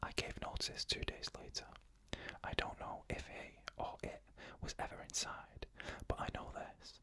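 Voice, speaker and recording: An ASMR storyteller reading eerie first-person stories in a fully unvoiced whisper, slow and measured, with drawn-out pauses. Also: pushed and bright.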